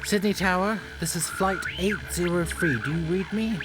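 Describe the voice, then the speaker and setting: Woman playing a formal airline crew member, loud music. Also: on the low side, moderate pace, lightly acted. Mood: tense, urgent. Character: businesslike, tense female airline crew member. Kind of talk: fan audio drama acting.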